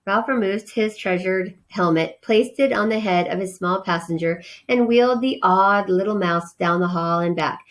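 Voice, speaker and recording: Female storyteller, medium pitch, informal read-aloud for children with casual asides. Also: normal speed, few pauses. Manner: informal, explains words simply.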